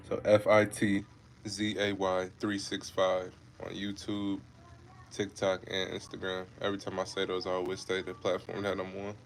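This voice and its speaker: A man's voice: deep voice, completely flat delivery, normal speed, soft background music. A man in his twenties from Ohio, chatting casually with viewers on a fitness livestream, laid-back and repetitive, calling them bro and man. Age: 20s. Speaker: fitness creator hosting a livestream.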